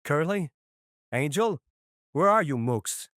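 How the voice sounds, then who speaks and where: An actor playing a blustering, irritable mob boss with gruff, indignant street slang in a dramatized crime comic. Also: male voice, on the high side, lively intonation, slow pace, fairly bright tone, dry recording, studio quality. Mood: alarmed, pleading.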